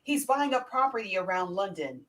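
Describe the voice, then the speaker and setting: A woman's voice: medium pitch, big pitch swings, moderate pace, a bit bright. A woman voicing a pompous, formal male employer, reading a script in an emphatic, theatrical way. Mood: emphatic, brisk. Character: brisk, pompous senior male lawyer, an employer. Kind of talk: amateur script read-through.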